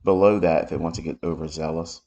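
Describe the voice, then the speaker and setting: A man with a raspy voice from allergies, giving unhurried live commentary as he explains market charts. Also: rumbling low, fairly even intonation, mellow, lo-fi sound. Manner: unhurried, thinking aloud while explaining charts. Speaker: trading educator. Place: home office.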